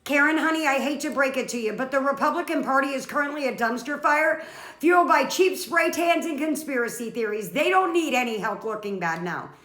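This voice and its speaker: A woman's voice: high-pitched, animated, a little fast, fairly bright tone, roomy sound. A woman playing an exasperated office coworker with dry, withering, articulate sarcasm in a comedy skit. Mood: dry, mocking.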